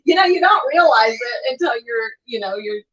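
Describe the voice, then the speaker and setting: A woman's voice: a little high, animated, moderate pace, fairly bright tone. A woman co-hosting a casual home livestream, laughing often as she reads viewer comments aloud. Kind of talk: casual chat. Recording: echoey room, slightly dull sound.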